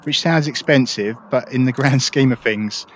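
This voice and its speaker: A man's voice: mid-range voice, moderate pace, muffled recording, a little hiss or hum. A man hosting a casual gameplay tutorial, explaining things in a chatty, upbeat way. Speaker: gaming video host.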